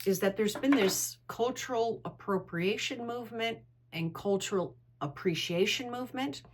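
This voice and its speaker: A middle-aged American-born woman who leads a women's travel club, telling stories in a candid, opinionated, digressive way. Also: medium pitch. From United States. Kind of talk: interview answer, conversational monologue.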